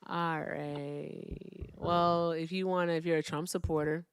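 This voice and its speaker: A woman's voice: low-pitched, little pitch movement, unhurried, very noisy. A woman hosting a casual livestream debate, blunt and profane, laughing often.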